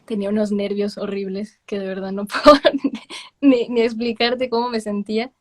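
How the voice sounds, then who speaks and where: A woman's voice: mid-range voice, little pitch movement, normal speed. A young woman with a Mexican accent, a guest telling stories in a casual interview. She laughs often and drops in English words. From Miami, with long stays in Mexico.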